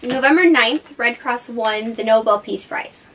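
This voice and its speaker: Bright, high-voiced woman reading news. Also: measured pace, a little hiss or hum, low-quality recording. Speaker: mock weather and news reporter. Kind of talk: reading a school news report.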